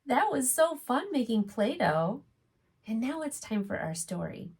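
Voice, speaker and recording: A woman reading aloud to young children as a preschool storytime host, expressive and often putting questions to her listeners. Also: on the high side, unhurried, husky.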